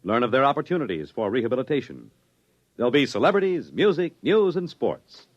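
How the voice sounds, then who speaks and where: A man's voice: mid-range voice, very animated, brisk, dull audio. A man with an American accent announcing in a dramatic, declamatory 1950s radio style. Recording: restored vintage radio recording.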